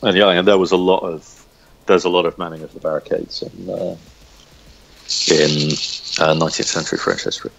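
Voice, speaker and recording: A man's voice: deep voice, brisk, gravelly, pauses often, slight background noise. A man chatting as an interview guest, a writer who speaks hesitantly and often searches for words, on a lo-fi recording. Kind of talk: casual interview chat.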